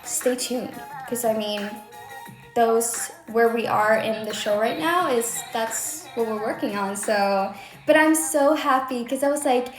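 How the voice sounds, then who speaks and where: A woman's voice: on the high side, reverberant. A young woman from Sweden with a light Swedish accent, a Swedish native speaker, chatting casually and bubbly on a livestream from her bedroom. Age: young adult. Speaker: idol livestream host. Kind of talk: casual livestream chat reading comments.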